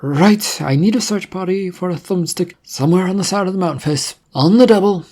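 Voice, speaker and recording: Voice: mid-range voice, brisk, a little mellow, voice pushed up, natural voice. A storyteller voicing a curt, authoritative agent giving orders to military guards in a story. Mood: commanding.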